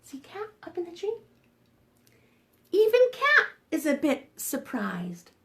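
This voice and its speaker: A woman's voice: very high-pitched, huge pitch swings, slow, a bit bright. A woman hosting a preschool storytime, reading aloud expressively and coaxing young listeners with questions. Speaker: children's librarian, storytime host. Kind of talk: animated read-aloud for preschoolers.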